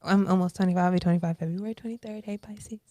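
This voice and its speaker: A woman in her twenties, a podcast guest, talking in a reflective, animated way with many fillers. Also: on the low side, fast, no echo. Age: 20s. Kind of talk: casual interview chat.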